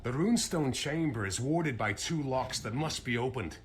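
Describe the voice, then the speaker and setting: A man voicing a calm wise quest-giver. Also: mid-range voice, moderate pace, slight background noise, close to an everyday voice. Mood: advising.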